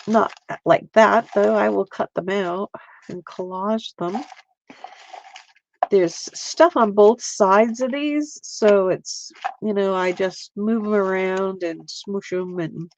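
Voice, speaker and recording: A woman's voice: mid-range voice, slow, vocal fry, pauses often, lo-fi sound, slight background noise. A woman hosting a casual live art stream, chatting and laughing with viewers as she works, with a slight Canadian accent. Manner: chatty, giggly, talks to viewers while crafting.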